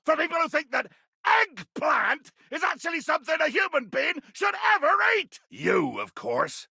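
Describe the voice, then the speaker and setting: An actor playing a tiny, furious, grandiose sea captain in a video game, with a raspy, gravelly voice and theatrical roaring insults. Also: male voice, very high voice, sharp tone, dry recording, studio quality, heavily acted. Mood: exasperated disdain. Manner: bombastic, theatrical, archaic nautical insults and sudden roars. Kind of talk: video game character acting.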